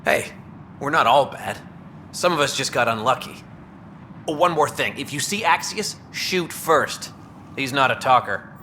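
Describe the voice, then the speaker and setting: A man's voice: medium pitch, expressive, a little slow, bright, forceful tone, a little hiss or hum. A man playing a nervous, talkative scavenger outlaw in a video game, edgy and colloquial, eager to placate. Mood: wry, cautioning.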